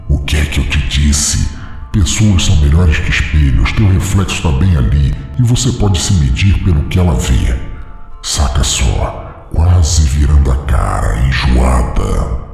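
Male narrator doing a sneering she-demon: very low, gravelly, reverb-soaked, mocking. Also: animated, measured pace, a little mellow, full character voice. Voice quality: deep gravelly voice. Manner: sneering, condescending, mock-sweet. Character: mocking inner demon, female, cruel and taunting. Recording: echoey reverb effect with music.

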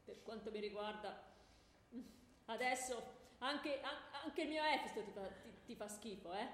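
A woman's voice: on the high side, a little slow, fairly bright tone. A woman playing a jealous, scornful queen of the gods, scolding her husband sarcastically in a theatrical radio comedy. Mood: bitter. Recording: echoey hall with faint noise.

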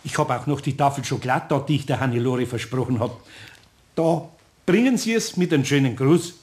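A man's voice: mid-range voice, fairly quick, darkish tone, close to an everyday voice. An actor playing a kindly, indulgent older craftsman with a Bavarian accent in a children's radio play. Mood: grateful. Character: kindly, good-natured older male craftsman.

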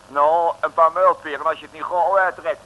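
An actor playing a menacing, slangy street thug, demanding and bullying, in an old Dutch radio comedy. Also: male voice, a little high, fairly even intonation, moderate pace, dull audio, noisy background, lightly acted. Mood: threatening. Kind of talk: 1950s Dutch radio comedy serial acting.

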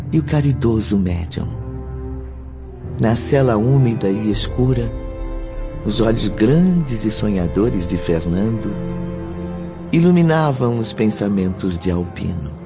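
An actor serving as the solemn storyteller of a radio serial, reading scripted dramatic narration. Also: male voice, fairly low pitch. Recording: muffled lo-fi recording with loud music.

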